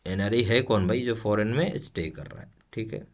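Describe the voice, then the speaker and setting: A man's voice: on the low side, moderate pace. A man with an Indian accent giving an explanatory exam lesson, reading questions aloud and often checking that listeners follow. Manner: instructional, checks in with frequent tag questions. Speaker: exam coaching teacher.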